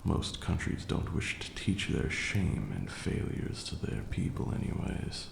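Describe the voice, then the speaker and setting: Ancient male vampire lord, acted by a man for ASMR roleplay: very low, heavy fry, formal, condescending yet tender mentor. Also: big pitch swings, full character voice. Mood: musing, wry. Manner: measured, formal archaic diction, condescending yet doting. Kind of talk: slow intimate ASMR roleplay acting. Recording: roomy echo, faint background noise.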